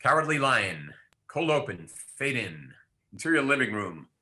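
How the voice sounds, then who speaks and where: Male presenter and stage-direction reader: bright, forceful tone, informal, halting. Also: mid-range voice, big pitch swings. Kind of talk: live event introduction and stage-direction reading.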